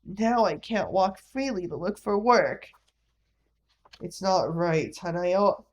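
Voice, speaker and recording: Woman acting middle-aged man, embittered war veteran, downhearted, unhurried delivery, storybook reading. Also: fairly low pitch, expressive. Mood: bitter. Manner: weary, downhearted, plain-spoken.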